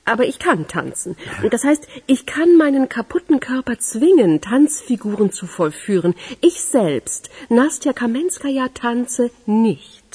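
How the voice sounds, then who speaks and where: An actress playing a wry, aloof translator with a dry, teasing way of talking in a German radio crime drama. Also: female voice, on the high side, big pitch swings, a little slow, hardly any pauses, warm tone, slightly rough, heavily acted. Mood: wry, playful. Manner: dry, teasing, self-deprecating. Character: wry, aloof woman translator with a bad back.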